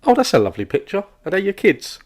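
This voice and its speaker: An actor playing an oblivious, relentlessly chatty office worker in an animated comedy, with a rambling, oversharing manner. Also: male voice, sits high, quick pace, exaggerated voice. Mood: nosy, oversharing. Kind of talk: comic animated dialogue.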